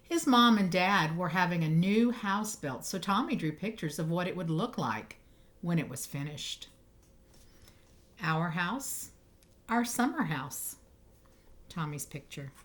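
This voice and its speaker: Lady reading a kids picture book out loud, slightly low voice, stresses words in a storytelling way. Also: expressive, measured pace, pauses often. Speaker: storybook reader.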